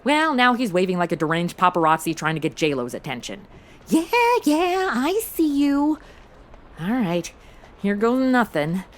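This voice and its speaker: A woman's voice: medium pitch, sing-song, moderate pace, lightly acted. A woman playing a brash, impulsive modern American sidekick in a comedy audio drama, slangy, blunt and sarcastic. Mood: rambling, sheepish.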